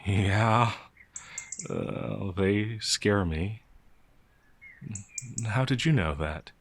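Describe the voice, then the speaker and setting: A man's voice: lowish voice, animated, very slow, heavily acted. A man playing a timid, innocent werewolf farmhand in an audio roleplay, speaking in a hesitant, stammering, naively earnest way. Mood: scared, sheepish. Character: timid, gentle, innocent male werewolf farmhand, older than he appears. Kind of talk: audio roleplay character acting.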